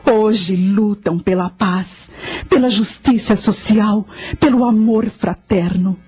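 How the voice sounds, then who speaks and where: A woman playing a solemn ancient high priestess, now a spirit, in a radio drama, husky and measured. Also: darkish tone, faint music behind. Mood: solemn, tender. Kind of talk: radio drama monologue and narration.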